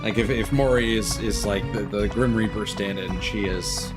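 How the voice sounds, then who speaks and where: A man commentating casually on a game livestream, rambling in halting asides with repeated words. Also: lowish voice, steady tone, loud music behind the voice. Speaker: game streamer.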